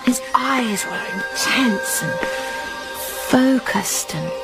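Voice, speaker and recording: High-voiced woman, dramatic and slow. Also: lively intonation, vocal fry, over loud music. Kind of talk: dramatic storytelling.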